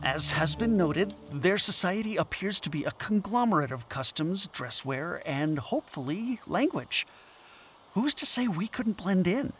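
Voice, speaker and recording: A man's voice: sits high, big pitch swings, a little slow, a bit bright, slight background noise, heavily acted. A man playing an obsessive, stubborn anthropology professor in an audio drama, articulate and argumentative. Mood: persuasive, determined.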